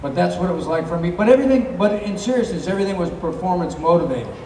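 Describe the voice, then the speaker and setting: A middle-aged man speaks into a handheld mic on a big stage, his fairly high voice rolling through a long-reverb hall. He rambles through his stories with plenty of fillers, heard from far back in the crowd with faint room hum. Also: fast. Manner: relaxed, rambling storytelling with many fillers. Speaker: convention guest actor. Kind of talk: live stage Q&A. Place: large convention hall, stage. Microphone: handheld mic, filmed from far in the crowd.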